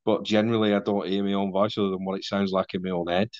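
Mancunian guy in his 40s, lowish voice, self-deprecating and sweary, dry muffled zoom audio. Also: level tone, quick pace, a little mellow, dry recording. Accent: Mancunian, softened. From Manchester, England. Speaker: podcast guest. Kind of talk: casual conversation over video call. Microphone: video call.

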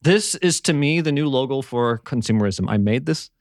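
A man's voice: mid-range voice, animated, normal speed, no room sound, clean studio sound. A man hosting a casual podcast chat. He rambles and repeats words while he searches for what to say. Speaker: podcast host. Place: home in eastern Germany.

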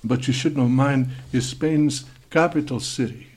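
A man playing a halting Spanish student. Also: mid-range voice, darkish tone, slightly rough. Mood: stiffly charming. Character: young male Spanish exchange student, formal, awkward.

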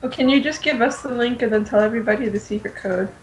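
A woman's voice: on the high side, fast talker. A woman co-hosting a podcast, talking casually as a fan on an amateur voice chat. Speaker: podcast co-host. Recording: noisy, distant amateur voice chat.

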